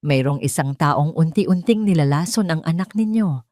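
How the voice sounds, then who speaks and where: A woman voicing a serious, worried hospital doctor in an audio drama, speaking politely and gravely. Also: low-pitched, animated, normal speed, darkish tone, dry recording, studio quality. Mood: grave concern.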